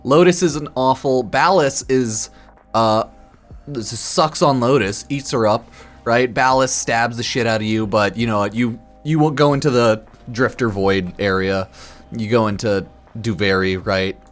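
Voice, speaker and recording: A man's voice: medium pitch, unhurried, faint music behind. A slightly tipsy man giving casual livestream commentary in a blunt, slangy, sweary way, often repeating himself and checking in with the listener. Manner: repeats himself for emphasis, tags lines with "right?". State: a bit drunk. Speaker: game streamer.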